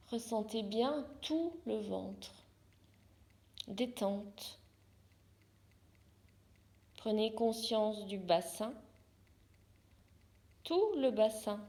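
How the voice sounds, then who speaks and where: A woman guiding a yoga nidra relaxation as a therapist, calmly, in short soothing instructions with pauses. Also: a little high. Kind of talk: guided yoga nidra meditation.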